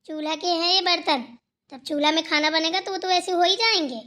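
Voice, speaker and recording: Woman voicing an outspoken, very shrill young daughter-in-law. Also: fairly even intonation, a little fast, bright, forceful tone. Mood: defensive, sarcastic. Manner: talking back, teasing, moralizing. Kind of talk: acted dialogue in Hindi family cartoon.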